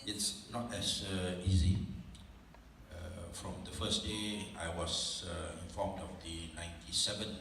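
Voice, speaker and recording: A man with a Malaysian accent speaking off the cuff at a town hall, halting and careful with his words. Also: deep voice, steady tone, vocal fry, bright, forceful tone. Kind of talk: unscripted town hall speech. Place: large indoor hall at a public meeting. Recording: very echoey hall, distant, faint noise.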